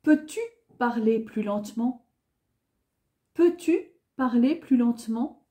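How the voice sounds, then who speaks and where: Slow, high-voiced woman teaching French. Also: animated, dark tone. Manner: clear, careful enunciation with each phrase said twice. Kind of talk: beginner language lesson, repeating phrases slowly.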